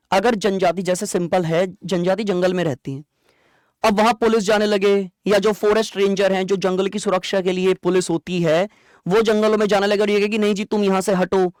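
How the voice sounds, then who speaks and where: A teacher delivers an exam-prep lecture in a conversational, explanatory way at a medium pitch. Questions are posed and then answered, with key points repeated for understanding. The sound is dry, with very little room echo. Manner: asks rhetorical questions and answers them.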